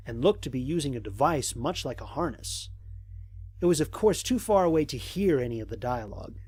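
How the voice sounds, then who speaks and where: A man playing an observant human space explorer, narrating scripted mission logs in a formal, measured, descriptive way with a slightly husky voice. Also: on the high side, a little mellow. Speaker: voice actor narrating an audio drama. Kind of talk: scripted first-person narration, mission log.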